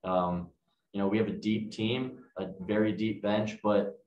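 A young male athlete gives a press conference answer in a low voice with little melody. He sounds some way from the mic in an echoey room. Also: fairly even intonation, measured pace, muffled recording. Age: young adult. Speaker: college soccer team captain. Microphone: distant camera mic, face mask on. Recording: echoey room, distant microphone.

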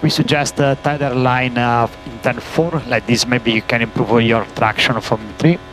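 A man working as a race engineer, giving terse, halting instructions over team radio and repeating key commands. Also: mid-range voice, steady tone, a bit bright, soft background music. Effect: team radio transmission. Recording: noisy motor-racing team radio.

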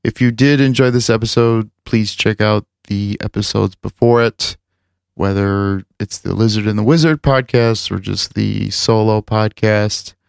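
A man's voice: lowish voice, steady tone, a little slow, vocal fry, smooth, no echo, clean studio sound. A man hosting a podcast, chatting casually and rambling with lots of filler words as he wraps up the show. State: recovering from lost voice. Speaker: podcast host. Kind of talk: casual chat and show wrap-up.

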